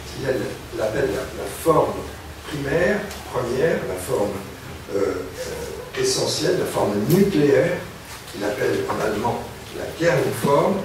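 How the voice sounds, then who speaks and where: An older man giving an academic lecture, pausing often and filling gaps with hesitation sounds. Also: mid-range voice, lively intonation. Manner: hesitant, many pauses and filler sounds. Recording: echoey hall, distant mic, background noise.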